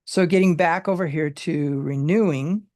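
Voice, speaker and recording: A Bible study teacher who explains word meanings in a halting way, with many fillers and restarts. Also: medium pitch, a little mellow, no room sound.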